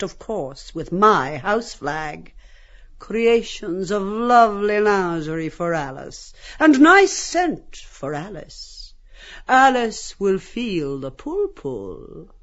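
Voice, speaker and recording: A woman's voice: mid-range voice, dull audio. A woman playing a sadistic, domineering brothel madam in a dramatized audiobook, commanding, jeering and theatrical.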